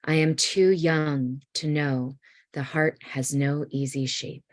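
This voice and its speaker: A woman reading a poem aloud in a measured literary style. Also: deep voice, steady tone, no echo, clean studio sound. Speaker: poet reading own work.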